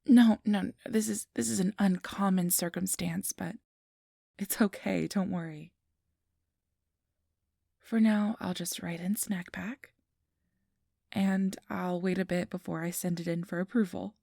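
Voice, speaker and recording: A woman playing a friendly, easily flustered registration clerk in a soft-spoken ASMR roleplay, gentle, hesitant and reassuring. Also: on the low side, fairly even intonation, a little slow, gravelly, long pauses, no echo, studio quality. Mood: gentle, encouraging. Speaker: interviewer.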